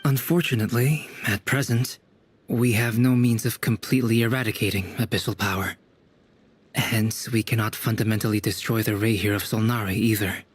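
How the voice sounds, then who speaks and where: An actor playing a scholarly, analytical young researcher in a video game, speaking in a measured, precise and formal way. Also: male voice, fairly low pitch, fairly even intonation, breathy edge. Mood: grave, explanatory. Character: scholarly young male researcher, composed, analytical, prone to lecturing. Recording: music playing behind the voice.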